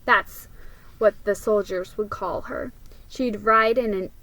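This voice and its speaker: A woman reading a children's story aloud as a dramatic reading, with expressive, emphatic storytelling. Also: mid-range voice, slow pace, background noise. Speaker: storybook reader.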